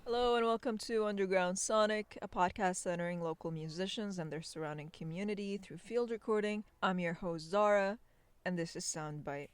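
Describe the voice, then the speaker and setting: A woman hosting a podcast, reading scripted narration in a calm, explanatory manner on a close mic. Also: mid-range voice, moderate pace, clean tone, no echo. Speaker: podcast host.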